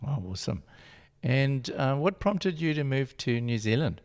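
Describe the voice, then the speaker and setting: A man's voice: medium pitch, animated, unhurried, no room sound, clean studio sound. A man hosting a podcast, reading a scripted introduction and asking upbeat, encouraging questions. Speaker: podcast host.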